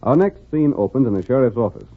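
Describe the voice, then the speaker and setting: A man narrating a radio serial in dramatic 1940s storytelling style, with a husky voice. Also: medium pitch, lively intonation, fast, a little hiss or hum, natural voice. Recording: dull vintage radio recording.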